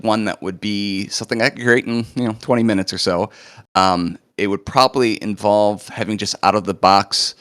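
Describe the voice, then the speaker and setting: A man with a lowish, slightly hoarse voice walks through a live coding demo, talking loosely with plenty of fillers. The sound is dry and close, with no room echo. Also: fairly bright tone. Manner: casual, chatty, lots of fillers. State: a bit hoarse, recovering from illness. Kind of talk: live screen-share walkthrough.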